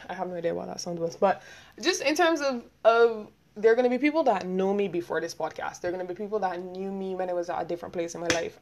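A young woman with a Barbadian accent talking quickly and rambling, hosting a casual solo podcast and laughing often. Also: mid-range voice, darkish tone. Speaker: podcast host.

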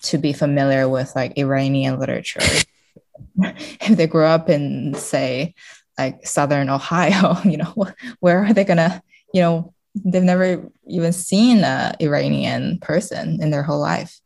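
A woman's voice: low-pitched, unhurried, vocal fry, a little mellow. A woman speaking casually as a podcast guest, searching for her words with frequent "like" and "you know". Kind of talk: casual interview conversation.

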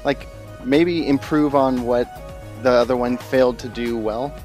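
A man's voice: medium pitch, fairly even intonation, unhurried, a little mellow, soft background music. A man co-hosting a podcast, talking in a casual, conversational way. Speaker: podcast co-host. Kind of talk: casual chat.

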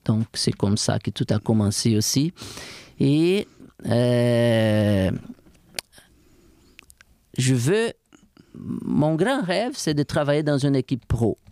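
A middle-aged man with a Brazilian accent and a gravelly, darker voice talks in a casual interview. He often stops to restart words while he searches for phrasing. The sound is close and dry, with no room echo. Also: mid-range voice, expressive, takes it slowly, long pauses, darkish tone. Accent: Brazilian Portuguese. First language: Portuguese. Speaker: strength and conditioning coach.

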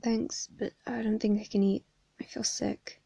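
A woman with a hoarse voice reading the lines of an anxious teenage boy who is facing a court trial, quiet and shaky. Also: medium pitch, level tone, unhurried, no echo.

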